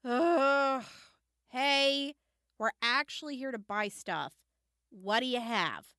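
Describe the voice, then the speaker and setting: A woman playing a careful wasteland traveler in an audio drama, expressive and questioning as she haggles over supplies. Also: sits high, slow pace, no room sound, clean studio sound, full character voice. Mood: impatient. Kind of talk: post-apocalyptic audio drama acting.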